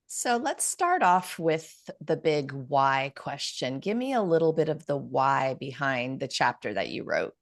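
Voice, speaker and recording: A woman's voice: extremely low pitch, very animated, a little slow, creaky, few pauses. A woman hosting an interview as head of a publishing company, upbeat and full of gratitude. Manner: upbeat, grateful, with fillers. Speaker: interview host, publishing CEO.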